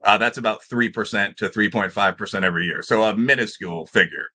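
A man's voice: lowish voice, fast, sharp tone, husky. A middle-aged man on a panel video call, arguing off the cuff in an academic, discursive and emphatic manner. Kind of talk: extemporaneous panel discussion argument. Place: indoor room with bookshelves, on a video call.

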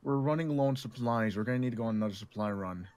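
A man playing a calming mediator among zombie survivors in improvised roleplay, speaking in a placating, measured way. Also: mid-range voice, lightly acted. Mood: serious.

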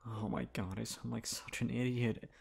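A man's voice: lowish voice, creaky, husky. A man acting in an ASMR roleplay as a flustered, dominant young best friend, hesitant at times and blunt at others. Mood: anxious, self-doubting. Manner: hesitant with pauses, turning bossy and blunt. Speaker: ASMR voice actor.

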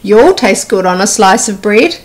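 A woman's voice: mid-range voice, animated, a little slow, lightly acted. A woman voicing a big, amused, gullible male monster in a children's storybook. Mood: hungry.

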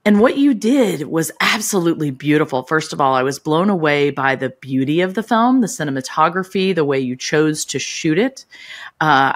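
Low-voiced middle-aged woman hosting. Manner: earnest, curious, expressive. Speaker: podcast co-host. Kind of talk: casual interview chat. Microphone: video call, webcam.

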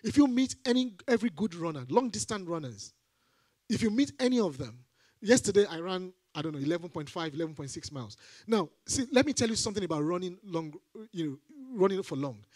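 High-voiced preacher, animated. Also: male voice, a little fast, dry recording. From Nigeria. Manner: animated, rising to emphatic repeated phrases. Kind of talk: sermon. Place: church hall stage. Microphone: handheld mic.

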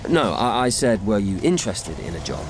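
An actor playing a dry, loyal, overworked assistant to a film director in a radio drama, matter-of-fact and understated. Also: male voice, on the low side, big pitch swings, normal speed, noisy background. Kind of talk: naturalistic radio drama dialogue.

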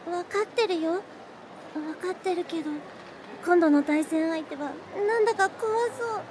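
A woman playing a timid, gentle schoolgirl apprentice witch in an anime-style voice drama, hesitant and soft-hearted. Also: very high voice, moderate pace, background noise. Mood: anxious.

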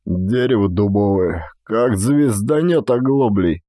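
Audiobook role: strapping Cossack son acted by a man, gruff, careless muttering, very dry sound. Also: fairly low pitch, slow, dark tone, dry recording. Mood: gruff, dismissive. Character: burly, reckless young Cossack son, gruffly shrugging off injury.

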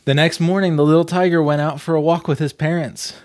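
A man's voice: a little high, fairly even intonation, brisk, a little mellow, no echo. Earnest man, close dry mic. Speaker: vlog host and storyteller. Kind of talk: storybook reading and candid commentary. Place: small plain room indoors. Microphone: desk microphone, close.

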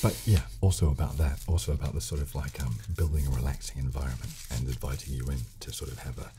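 A British man in his thirties hosting an ASMR show, speaking softly and gently as he explains things, close to the microphone. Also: rumbling low, steady tone, fast talker. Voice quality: soft, hushed. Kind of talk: soft-spoken ASMR chat. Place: small decorated room, indoors. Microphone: close studio condenser microphone on table. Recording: crackling plastic handling noise on mic.

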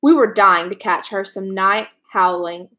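A woman's voice: medium pitch, unhurried, pushed and bright. A young woman reading a children's novel aloud in a storytelling style, indoors at home. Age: young adult.

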